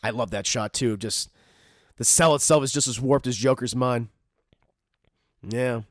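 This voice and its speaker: A man's voice: mid-range voice, unhurried, dry recording, studio quality. A man casually joking through a cartoon episode commentary, often mock-voicing the characters. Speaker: commentary host.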